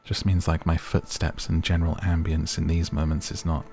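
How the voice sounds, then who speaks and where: A soft-spoken man giving gentle, chatty, relaxed ASMR commentary over a game, as the host of the video. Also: a bass voice, moderate pace, creaky. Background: game music.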